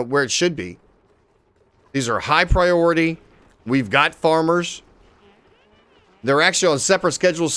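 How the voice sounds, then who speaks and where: A man live-streaming a game, chatting casually with viewers and swearing freely as he plays. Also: fairly high pitch. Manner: excitable, swears casually, talks to chat.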